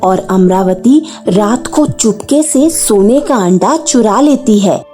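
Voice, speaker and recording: Slow, mellow woman story narrator. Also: medium pitch, animated, soft background music. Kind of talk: scripted Hindi children's story narration.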